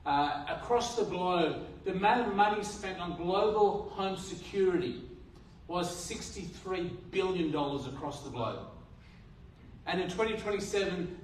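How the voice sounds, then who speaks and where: Australian pastor preaching in an echoey hall. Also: male voice, sits high, a little hiss or hum. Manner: earnest, repetitive restarts, rhetorical questions. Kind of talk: sermon. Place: church hall. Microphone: handheld mic. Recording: roomy hall from a distance.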